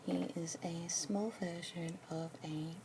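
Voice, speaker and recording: A young woman in a whispered ASMR roleplay as a pet adoption consultant, speaking slowly and gently in a soft unvoiced whisper. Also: fairly low pitch, fairly even intonation, background noise.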